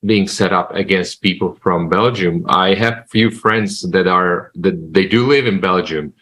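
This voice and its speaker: Low-voiced man on a panel. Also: measured pace, a bit bright. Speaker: panel guest. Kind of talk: online panel discussion.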